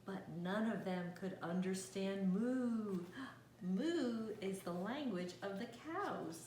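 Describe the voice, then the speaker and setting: A woman reads a children's story at a slow pace with a very expressive, swooping delivery. She exclaims and stops to explain things to little listeners, in a roomy, echoing space. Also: medium pitch. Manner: gasps, exclaims and explains words to young listeners. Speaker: storyteller reading a picture book. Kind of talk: animated children's read-aloud with asides. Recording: roomy echo, faint background noise.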